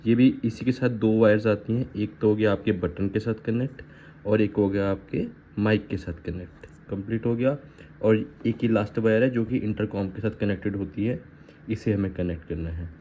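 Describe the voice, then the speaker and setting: Male unboxing vlogger, Indian, low and mellow, casual code-switching delivery, slightly noisy audio. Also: normal speed. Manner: informal, switches between Hindi and English phrases. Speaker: vlogger reviewing gear. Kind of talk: casual unboxing and installation walkthrough. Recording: muffled lo-fi audio with faint background noise.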